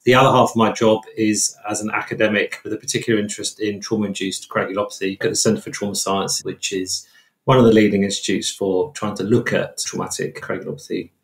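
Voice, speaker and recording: A roomy, echoing recording of a British man speaking as an expert guest. His pitch is on the low side and fairly even. He talks in a professional interview register. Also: fairly quick, creaky. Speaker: guest expert, trauma surgeon and academic. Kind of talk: professional self-introduction in interview.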